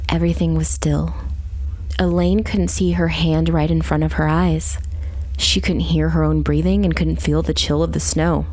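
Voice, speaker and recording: Low-voiced woman narrating dark fantasy. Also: no echo, rough recording. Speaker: audiobook narrator. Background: faint music.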